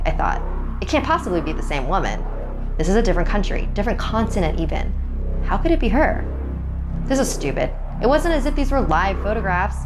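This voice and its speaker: Female narrator for a creepy first-person tale: lowish voice, expressive and suspenseful, clear music bed underneath. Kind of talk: dramatic first-person horror story narration.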